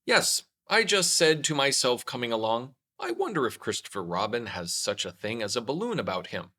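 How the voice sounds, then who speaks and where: A man's voice: mid-range voice, big pitch swings, normal speed, bright, forceful tone. A man voicing a simple, ponderous, honey-loving storybook bear who muses earnestly and repeats himself. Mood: polite, hopeful.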